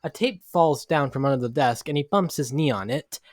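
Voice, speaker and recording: A man's voice: mid-range voice, fairly quick, dry recording, studio quality. A man narrating a scripted dramatic story, now and then stumbling over the words.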